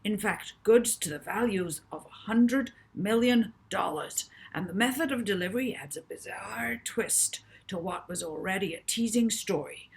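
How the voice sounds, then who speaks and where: A woman reading a storybook, voicing an excited male TV news reporter with emphatic, dramatic announcing. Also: medium pitch, voice pushed up.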